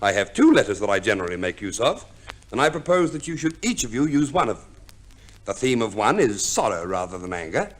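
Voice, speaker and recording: An actor playing a fussy, shrewd divorce lawyer in a radio play, with a pedantic, precise manner and hesitant stammers. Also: male voice, fairly low pitch, big pitch swings, brisk. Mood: businesslike, precise. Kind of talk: 1950s radio play acting.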